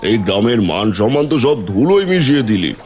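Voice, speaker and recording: A man's voice: medium pitch, sing-song, quick pace, a little mellow, lightly acted. A man voicing a stern, disapproving village headman in a cartoon fairy tale, scolding with authority.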